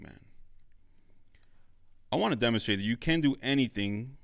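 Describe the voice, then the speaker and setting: A young man hosting a solo podcast, talking emphatically and repeating his points for emphasis. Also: mid-range voice. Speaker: podcast host and studio owner. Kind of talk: motivational solo podcast monologue.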